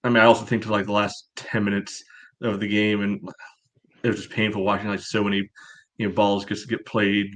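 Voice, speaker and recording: A man co-hosting a podcast, chatting casually about sport with a hedging, measured way of making points. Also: on the low side, flat delivery, a bit bright. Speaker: podcast co-host.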